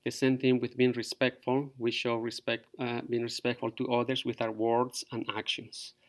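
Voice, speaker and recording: Middle-aged teacher, halting. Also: male voice, medium pitch, completely flat delivery. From Peru. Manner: halting, many fillers. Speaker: fourth grade teacher. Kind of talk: virtual open house presentation to parents.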